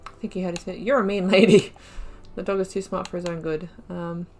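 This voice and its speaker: A woman's voice: fairly low pitch, fairly even intonation, moderate pace, darkish tone, faint music behind. A woman giving casual, chatty commentary on her video game playthrough, giggling and muttering asides. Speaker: gaming YouTuber. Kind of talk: casual let's-play commentary.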